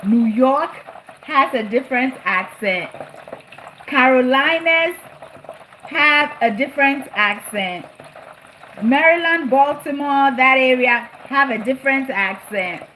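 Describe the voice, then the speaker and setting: A woman's voice: sits high, animated, takes it slowly, sharp tone, poor audio. A middle-aged woman with a slight New Jersey accent chats casually to live-stream viewers as she cooks, often repeating phrases for emphasis. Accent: New Jersey-influenced. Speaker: live-stream cooking host. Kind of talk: casual live chat with viewers while cooking. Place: home kitchen. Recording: food frying and boiling noise behind. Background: sizzling, bubbling cooking sounds.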